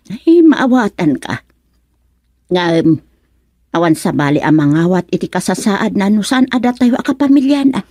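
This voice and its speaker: An actress playing a concerned older village auntie in a radio serial, sympathetic yet admonishing, with a Filipino accent. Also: female voice, medium pitch, sing-song, moderate pace, mellow, no room sound. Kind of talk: radio serial drama acting.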